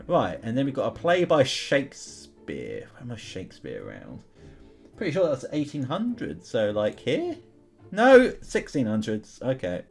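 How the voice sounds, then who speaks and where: A young man hosts a gaming video in a casual, chatty way. His mid-pitched voice moves up and down animatedly as he thinks out loud, with music playing behind him. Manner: chatty, informal, thinking aloud. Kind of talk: casual gameplay commentary.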